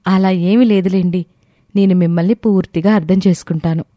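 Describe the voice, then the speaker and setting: A woman's voice: fairly low pitch, fairly even intonation, fairly quick, warm tone, no echo, clean studio sound, lightly acted. A woman playing a composed, earnest young woman in a family story. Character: composed young wife and daughter in a family tale. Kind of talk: acted story dialogue.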